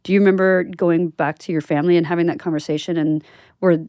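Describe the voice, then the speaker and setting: A woman hosts a casual interview in a slightly low-pitched voice. She is chatty and supportive in how she asks and responds, and she is recorded dry, without room sound. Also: fairly even intonation, quick pace, dark tone, dry recording. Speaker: podcast host, interviewer.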